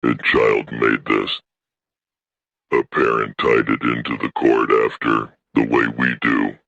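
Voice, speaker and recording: A man playing an old, weary alien elder who speaks in solemn, stern, formal declarations with a gravelly creak, in a science fiction audio story. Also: extremely low pitch, flat delivery, slow, bright, forceful tone, dry recording, dull audio, exaggerated voice.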